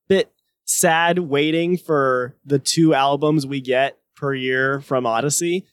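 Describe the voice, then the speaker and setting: A man's voice: medium pitch, slow pace, clear, resonant tone, no echo. A young man co-hosting a podcast, laid-back and teasing in casual chat. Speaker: podcast co-host.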